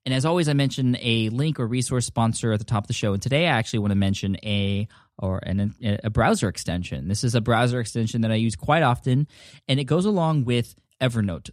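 A male podcast host speaks into a close studio mic in a mid-pitched voice. The sound is dry. He talks in a friendly, rambling way and restarts sentences as he thinks. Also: brisk, no room sound. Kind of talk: casual solo podcast talk.

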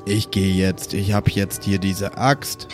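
A man's voice: deep voice, flat delivery, takes it slowly. A man playing a headstrong, impatient pubescent dwarf with a sulky manner in an improvised audio play. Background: background music.

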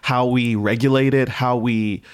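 A man's voice: medium pitch, steady tone, a little slow, clear, resonant tone. Earnest man in reflective conversation. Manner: earnest, philosophical, checks in with "right?".

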